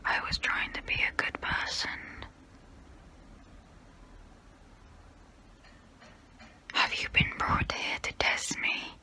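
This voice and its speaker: A woman voicing a haughty immortal vampire who looks down on humans, in a breathy close-up whisper for an ASMR role play. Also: bright, forceful tone. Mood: confessional, intense. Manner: slow, deliberate, condescending.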